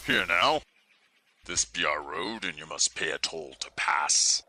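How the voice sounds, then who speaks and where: A man playing a rough, threatening bandit in a fantasy audio drama. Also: very deep voice, unhurried, bright, forceful tone, a little hiss or hum, exaggerated voice. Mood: menacing.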